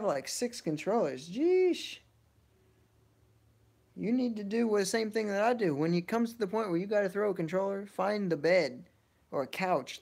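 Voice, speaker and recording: A man's voice: fairly high pitch, vocal fry, a little mellow. A man in his early twenties chatting casually on a livestream, answering viewers in a laid-back, slangy way. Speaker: game streamer.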